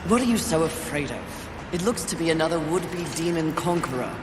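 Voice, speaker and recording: A woman's voice: deep voice, animated, brisk, noisy background. Cocksure, sardonic warrior horsewoman. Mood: dismissive. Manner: cocksure, dry, scornful. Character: proud, sardonic warrior horsewoman of the apocalypse, cocky. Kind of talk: fantasy video game acting. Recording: echoey, reverberant, with music behind.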